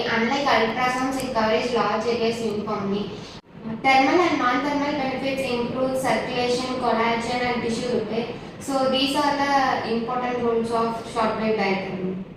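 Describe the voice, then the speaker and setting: A woman's voice: fairly high pitch, steady tone, normal speed. A young woman student delivering prepared points in a group discussion. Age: young adult. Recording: echoey room, distant mic, noisy.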